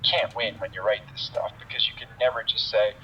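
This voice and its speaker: A man's voice: on the low side, steady tone, fairly quick, lo-fi sound, slight background noise. A man, a tax expert, explaining the rules in a conversational, wry way. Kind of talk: explanatory commentary.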